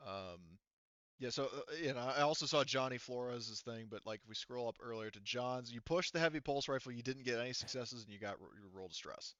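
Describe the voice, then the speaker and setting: A man running an online tabletop game as game master, explaining rules haltingly as he thinks aloud. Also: mid-range voice, a bit bright, dry recording, highs cut off.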